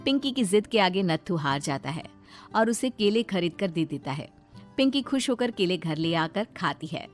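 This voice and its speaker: Steady woman narrating a cartoon tale. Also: on the low side, moderate pace, soft background music.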